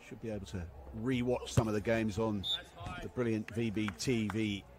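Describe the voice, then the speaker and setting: A man's voice: lowish voice, steady tone, normal speed. A man giving live beach volleyball commentary in clipped phrases that swell on big points. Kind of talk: live sports play-by-play commentary.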